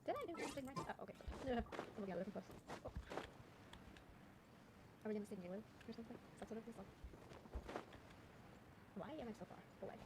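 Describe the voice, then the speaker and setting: A woman's voice: mid-range voice, animated, fast, long pauses. A woman doing casual let's play commentary, chatty and giggly as she reacts aloud to a video game. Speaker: gaming streamer. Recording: loud game audio and noise behind. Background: video game sound.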